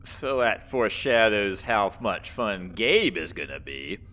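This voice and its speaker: Deep-voiced man giving lively game commentary. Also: measured pace. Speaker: gamer, let's play host. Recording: faint game noise behind. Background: video game sounds.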